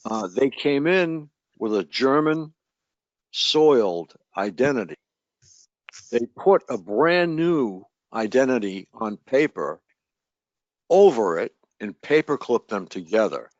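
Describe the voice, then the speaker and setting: A man explaining things slowly, with pauses, during a casual group discussion. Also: medium pitch, expressive, dry recording. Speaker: open mic participant. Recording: dry, dull lo-fi recording.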